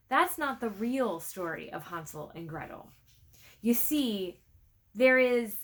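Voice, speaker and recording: A woman reading a storybook aloud in an expressive, dramatic way, letting her phrases drop into a hush. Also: a little high. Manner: dramatic, with hushed phrase endings. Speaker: storybook reader. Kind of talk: expressive read-aloud narration.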